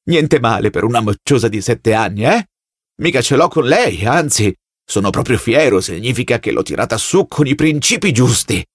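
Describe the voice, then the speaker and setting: A man's voice: medium pitch, animated, dry recording, clean studio sound, close to an everyday voice. A man voicing a plump, servile, agitated party loyalist who whines and pleads in an audiobook. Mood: mournful pride. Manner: whining, rapid-fire questions with tag words.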